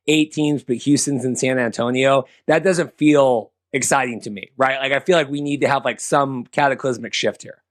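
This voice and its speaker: A man's voice: medium pitch, no room sound, clean studio sound. A man hosting a sports podcast, talking casually in a rambling, conversational way. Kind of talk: casual sports talk with banter.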